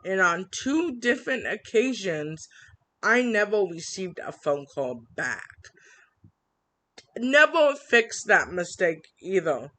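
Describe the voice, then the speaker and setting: A woman vlogging an upset complaint, halting with many fillers and restarts, reading from written notes. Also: medium pitch, very animated, bright, forceful tone. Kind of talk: personal complaint vlog.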